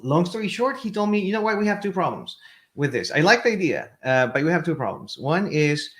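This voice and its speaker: Chilean man, halting interview talk. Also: on the high side, sing-song, fairly bright tone. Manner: halting, many restarts and "right?". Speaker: podcast guest, company CEO. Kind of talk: casual interview.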